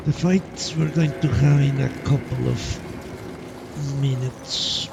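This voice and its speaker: A man's voice: medium pitch, steady tone, slow, gravelly, noisy background. A man giving casual live game commentary, muttering to himself and swearing freely. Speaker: game streamer. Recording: loud game music behind voice. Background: game music and sound.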